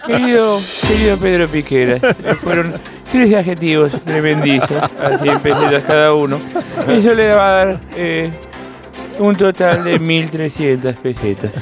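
Man as a high-voiced, showy old-time quiz host. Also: lively intonation, measured pace, music in the background. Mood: grandiose. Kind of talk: comedy radio impression.

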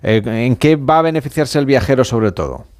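A man's voice: medium pitch, expressive, fairly quick, a little mellow, no room sound, clean studio sound. A man hosting a broadcast radio interview. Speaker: radio show host.

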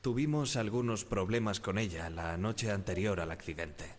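An actor playing a sober young radio operator giving testimony at a 1912 hearing in a radio drama. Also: male voice, lowish voice, normal speed, close to an everyday voice.

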